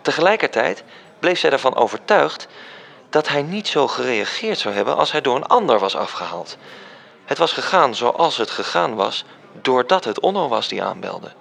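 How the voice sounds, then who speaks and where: A man from the Netherlands performing as a literary narrator in a radio play, telling the story in a measured way. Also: medium pitch, sing-song, creaky, a bit bright, heavily acted. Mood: measured, reflective.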